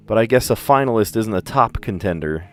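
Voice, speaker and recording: A man's voice: on the low side, animated, fairly quick, soft background music. A man from the US East Coast with a general American accent, hosting a puzzle livestream and chatting casually as he thinks aloud, with careful diction.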